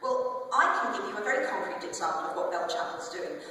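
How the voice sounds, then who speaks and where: A woman's voice: lowish voice, animated, brisk, bright, forceful tone. A woman voicing an earnest, combative female social worker, insistent and indignant, in a novel reading in an echoey theatre. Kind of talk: dramatised public novel reading. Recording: echoey theatre hall from far off, faint noise.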